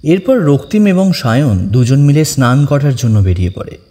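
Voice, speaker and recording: Mellow male narrator in long reverb. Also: mid-range voice, lively intonation, normal speed. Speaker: story narrator. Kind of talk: dramatic scripted narration of a ghost story.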